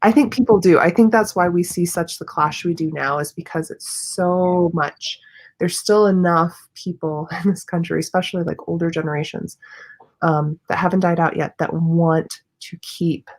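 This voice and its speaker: An earnest woman with a fairly low voice shares her firm views in a casual conversation. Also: steady tone, normal speed. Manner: earnest, opinionated. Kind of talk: casual video chat.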